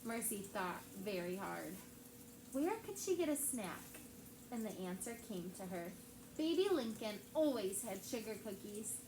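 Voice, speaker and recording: A woman teacher reading a picture book aloud to her class with lively character voices. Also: medium pitch, measured pace. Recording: echoey classroom with background noise.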